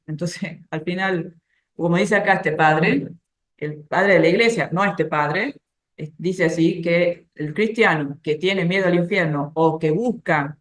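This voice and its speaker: A woman giving an informal faith talk, explaining ideas through everyday examples and soft laughs. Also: fairly low pitch, roomy sound.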